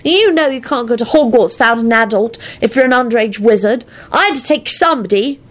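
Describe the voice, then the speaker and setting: A woman's voice: fairly high pitch, lively intonation, a little fast, pitched above the performer's own voice, natural voice. A woman voicing a whiny, pouty six-year-old boy. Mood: earnest. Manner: whining, gloomy, pouty.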